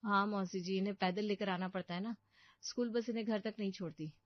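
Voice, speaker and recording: A woman plays a young mother and daughter-in-law in a cartoon family story. She is polite and patient, with a medium-pitched voice. The sound is dry and slightly dull. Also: fairly even intonation, fairly quick, no room sound, lightly acted. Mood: reassuring.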